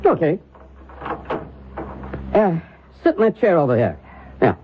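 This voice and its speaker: A man's voice: on the high side, huge pitch swings, measured pace, slight background noise. An actor playing a fussy, obliging male makeup artist who muses aloud, in an old-time radio drama. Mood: absorbed, brisk. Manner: musing, muttering repeats to himself. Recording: tinny band-limited old radio recording.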